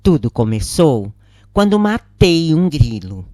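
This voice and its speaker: Slow, low-pitched Brazilian woman narrator. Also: very animated, dark tone, no room sound, clean studio sound. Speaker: narrator of spiritist readings. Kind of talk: scripted audiobook narration of a first-person account.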